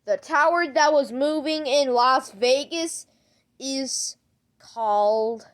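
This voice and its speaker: A woman acting out an improvised amateur skit, speaking haltingly and repeating her words. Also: high-pitched. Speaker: creator acting out an emergency alert skit.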